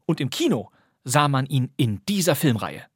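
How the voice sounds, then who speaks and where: A man's voice: medium pitch, very animated, normal speed, slight background noise. A man presenting a podcast of classic radio crime plays, speaking in a scripted, playful and wry way.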